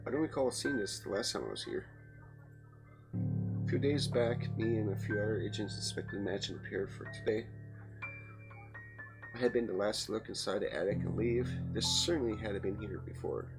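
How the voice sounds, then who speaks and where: A man's voice: on the low side, moderate pace, vocal fry, long pauses. A man hosting a let's play, reading story narration aloud with some dramatic emphasis and casual commentary. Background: loud game music.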